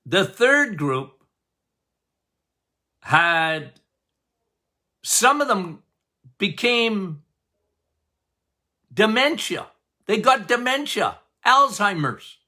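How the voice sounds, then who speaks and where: An older man hosting a health podcast as a doctor, talking loudly and passionately, with frequent laughs. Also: high voice, very animated, extremely slow, long pauses. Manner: loud, emphatic, passionate, laughs often. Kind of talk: casual health podcast talk.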